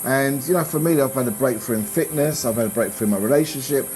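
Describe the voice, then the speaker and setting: A man, a business coach, talking in a relaxed conversation, rambling and restarting his sentences, growing animated when he makes a point. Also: medium pitch, fast talker, faint music behind, low-quality recording. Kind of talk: casual conversation.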